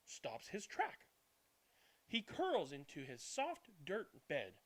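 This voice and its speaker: Slow, animated man reading a bedtime story. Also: fairly high pitch. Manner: animated, deliberate reading with hushed good nights. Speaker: read-aloud channel host. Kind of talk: expressive children's bedtime storybook narration.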